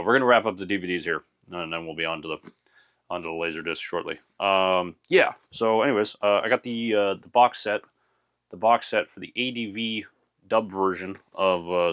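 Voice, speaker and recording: A young man in a casual, rambling show-and-tell about his anime collection, full of "uh" and restarts, a little hoarse from losing his voice. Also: on the low side, a bit bright. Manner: rambling, many fillers and restarts.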